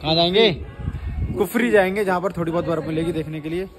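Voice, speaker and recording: A young man in his twenties vlogging by phone at arm's length, chatting excitedly to viewers outdoors and in moving cars. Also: on the high side, fast, over music. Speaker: travel vlogger. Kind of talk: casual travel vlog to camera. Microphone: phone held at arm's length. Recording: outdoor street noise. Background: traffic and wind noise.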